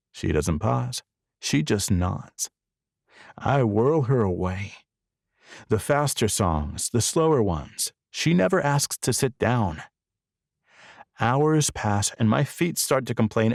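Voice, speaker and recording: A man's voice: medium pitch, big pitch swings, takes it slowly, gravelly, darkish tone, dry recording, studio quality. A man narrating a first-person romance novel as an audiobook.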